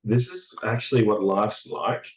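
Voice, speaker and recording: A man with a hoarse, raspy voice, talking in a halting, reflective way as a podcast guest, full of fillers and restarts. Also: lowish voice, expressive. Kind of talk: casual reflective chat.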